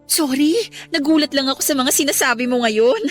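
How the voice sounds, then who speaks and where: A woman's voice: sits high, brisk, soft background music, lightly acted. A young woman voicing a shy, naive heroine in a radio love story, earnest and easily flustered. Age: young adult. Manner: earnest, flustered, then indignant. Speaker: heroine Abby. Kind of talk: radio drama acting.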